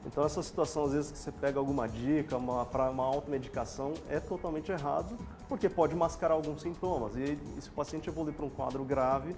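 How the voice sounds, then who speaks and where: A man with a Brazilian Portuguese accent, a doctor guest on a TV health show, explaining things calmly in plain lay terms in a studio. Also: a little high, quick pace, few pauses, soft background music.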